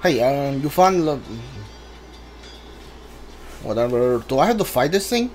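A man giving casual video game commentary in a halting way, stumbling over his words. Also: on the high side, big pitch swings, darkish tone. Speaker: gamer streaming a playthrough. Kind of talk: casual gameplay commentary, reading dialogue aloud. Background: game music.

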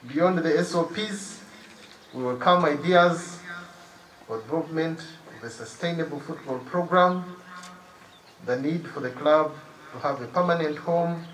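A man's voice: fairly high pitch, a bit bright, roomy sound, slight background noise, poor audio. A middle-aged man giving a prepared formal speech outdoors into a stand mic. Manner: slow, measured, formal delivery. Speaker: guest of honour giving a speech. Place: outdoors on a lawn by trees. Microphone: stand mic at a distance, under an umbrella.

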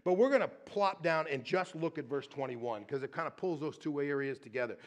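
A middle-aged male pastor giving a sermon in a church, his voice slightly higher than most men's. He talks in a chatty, animated way, often breaking off and restarting and checking in with the congregation. He moves around the stage near a stand mic. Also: fairly quick. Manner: conversational, animated, frequent restarts and "right?".

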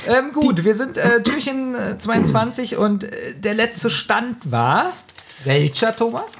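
A man's voice: very high-pitched, expressive, slow pace, creaky, noisy background. A man hosting a casual podcast, bantering and recapping an audio drama in a giggly way, often interrupting himself. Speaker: podcast host.

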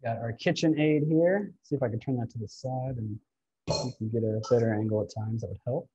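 A man's voice: mid-range voice, a little fast, background noise. A man in his thirties leading a live cooking demonstration in a large kitchen, explaining each step to viewers from a distant camera. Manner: instructive, walks viewers through steps. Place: large home-style kitchen, indoors. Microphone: far camera. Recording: dull lo-fi livestream audio.

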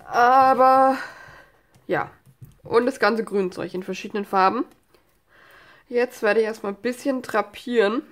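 A woman's voice: fairly high pitch, unhurried, pauses often. A woman with a stuffy nose from a cold, chatting casually through a crafting tutorial at her desk, rambling with many fillers and asides. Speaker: craft channel host. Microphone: overhead camera filming hands on desk.

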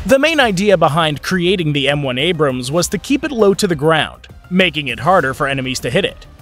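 A man giving scripted documentary narration in an even, informative way. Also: fairly high pitch, fairly quick. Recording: voice-over with faint background music.

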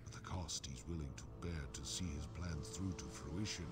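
An actor playing a bitter, imprisoned rebel in a video game, speaking in a grave, accusing way. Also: male voice, rumbling low, moderate pace. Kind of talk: dramatic video game dialogue. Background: game music.

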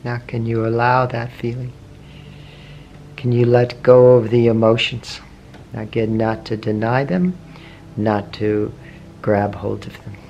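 A man guides a meditation in a soft, unhurried voice of middling pitch, letting long pauses fall between short phrases. His questions come gently and patiently. A faint hiss sits under his voice. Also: little pitch movement, muffled recording. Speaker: meditation teacher. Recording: faint background noise with meditation music.

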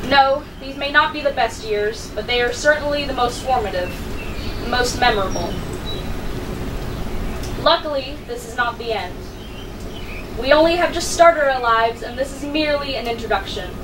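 High-voiced teenage girl giving a speech. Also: moderate pace, long pauses. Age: teens. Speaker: salutatorian giving graduation speech. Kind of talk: prepared speech at a podium. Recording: distant mic with clear background noise.

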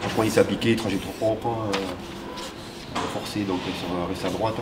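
A man, a motorcycle mechanic, calmly explaining suspension settings in a matter-of-fact tone. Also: sits low, moderate pace, over a noisy crowd. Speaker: bike mechanic.

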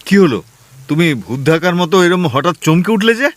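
An actor playing a nervous young husband in a radio play, who stammers and gets flustered easily. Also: male voice, high-pitched, animated, normal speed, dry recording. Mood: startled. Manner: stammering, jittery.

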